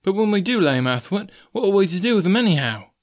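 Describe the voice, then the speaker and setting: Guy doing a young sailor lad, high pitched, eager, questioning, pirate storybook. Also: sing-song, fast.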